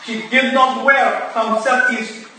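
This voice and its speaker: A woman playing a distraught Russian ballet woman under questioning in a staged period mystery, with a Russian accent and halting, emphatic, clipped phrases. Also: on the high side, fairly even intonation. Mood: nervous. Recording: echoey room from far off, faint noise.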